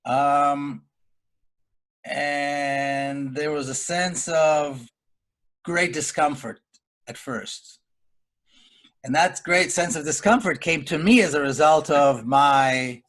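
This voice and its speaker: A middle-aged man from Israel speaking on a video call in a round-table webinar, in a deliberate and earnest way. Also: medium pitch, fairly bright tone. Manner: deliberate, earnest, measured delivery. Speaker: panel speaker.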